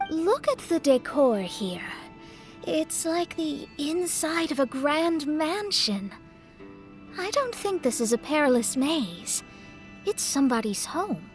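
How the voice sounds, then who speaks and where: An actress playing a thoughtful young woman in an anime-style fantasy game, speaking in an observant, gentle way. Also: sits high, sing-song, slow, faint music behind, full character voice.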